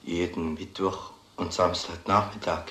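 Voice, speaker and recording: An actor playing a wary, gruff village father, terse and questioning, in a crime drama. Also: sits low, flat delivery, a little slow, sharp tone. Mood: uneasy. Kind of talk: German film crime drama acting. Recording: echoey old film soundtrack.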